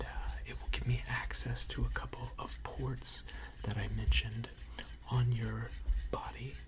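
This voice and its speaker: A person whispering an ASMR repair roleplay in a breathy, gentle and reassuring way, with unhurried, hesitant pauses. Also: mid-range voice. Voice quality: breathy, mostly unvoiced whisper. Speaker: ASMR creator role-playing a robot repair technician.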